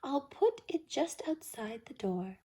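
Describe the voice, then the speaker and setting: A woman voicing a gentle, sleepy mother bear in a children's storybook, motherly in manner. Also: high voice, big pitch swings, slow pace, exaggerated voice. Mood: tidy, unbothered. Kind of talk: children's storybook read-aloud.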